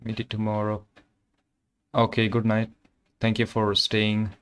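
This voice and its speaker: A man's voice: fairly low pitch, completely flat delivery, unhurried, fairly bright tone, smooth. A young man from Kerala with an Indian accent and Malayalam as his first language, chatting casually with viewers on a game stream, full of fillers and loose phrasing. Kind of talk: casual live-stream chat. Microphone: condenser microphone.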